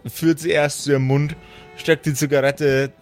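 A man's voice: fairly high pitch, level tone, normal speed. A man narrating an improvised tabletop role-playing game as game master. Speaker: game master who also voices characters. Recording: music behind. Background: instrumental background music.

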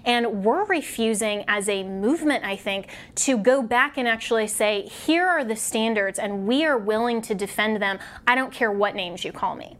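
A woman hosting a political talk show, setting up each segment in an earnest, measured tone. Her voice sits a little higher than most women's. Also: fairly bright tone.

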